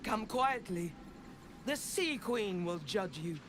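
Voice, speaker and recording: An actress playing a stern, zealous sea priestess in a video game, accusing and threatening. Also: female voice, medium pitch, lively intonation, slow, background noise. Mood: stern threat. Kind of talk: dramatic video game acting.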